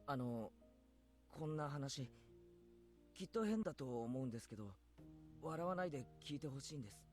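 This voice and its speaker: Man playing an uneasy schoolboy, quick speech. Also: mid-range voice, expressive, faint music behind, close to an everyday voice. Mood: uneasy, hesitant. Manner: hesitant, halting phrasing. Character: earnest, uneasy teenage schoolboy. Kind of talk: Japanese visual novel voice acting.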